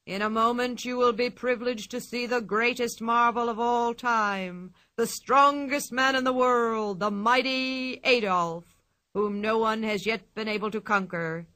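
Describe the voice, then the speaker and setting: A loud male circus ringmaster from a storybook, drawing out every word like a showman, as a woman reader puts him on. The voice sits high and rings bright and forceful, with a dry, close sound. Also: steady tone, no room sound. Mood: grandly announcing. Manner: booming, drawn-out showman announcing.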